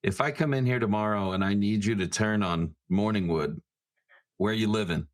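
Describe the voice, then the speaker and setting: A man playing a tabletop roleplaying game, speaking informally and haltingly as he improvises. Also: lowish voice.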